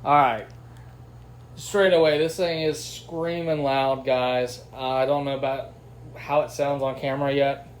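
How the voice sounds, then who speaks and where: A man's voice: mid-range voice, level tone, a little slow. A man hosts a casual unboxing and test video in a mid-range voice. He talks in a slangy, folksy, enthusiastic way. The sound comes from a phone microphone with light noise behind him. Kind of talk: casual unboxing review chat. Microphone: phone's built-in microphone. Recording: noisy phone camera recording.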